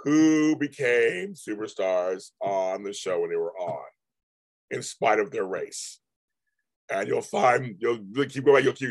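A man talks casually to his live-stream viewers, his voice hoarse and husky. He rambles, repeats himself and restarts his sentences often. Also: medium pitch. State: hoarse, voice going out. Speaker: livestream host.

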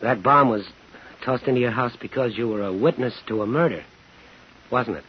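A man's voice: medium pitch, moderate pace, slightly rough. An actor playing a determined, probing homecoming serviceman, terse and hard-boiled, in 1950s radio thriller style. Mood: cool, probing. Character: determined, probing man in uniform, bitter homecomer. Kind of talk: 1950s radio thriller acting and first-person narration. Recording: dull 1950s radio recording.

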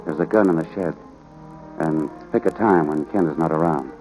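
A man's voice: very deep voice, moderate pace, a little mellow, faint music behind, rough recording. An actor playing a stern, sorrowful middle-aged rancher father in a 1940s radio drama. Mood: grave, resigned. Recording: old 1940s radio broadcast.

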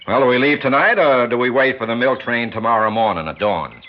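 An actor playing a paunchy, cigar-smoking sports promoter in a 1960s radio drama, persistent and full of slangy sales patter. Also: male voice, mid-range voice, brisk, close to an everyday voice. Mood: amused, eager. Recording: muffled, slightly noisy old radio recording.

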